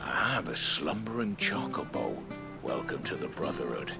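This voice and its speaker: Welcoming guild gatekeeper, video game. Creaky mid-low voice, easygoing, loud score underneath. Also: male voice, very animated, unhurried, exaggerated voice. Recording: loud music under the dialogue.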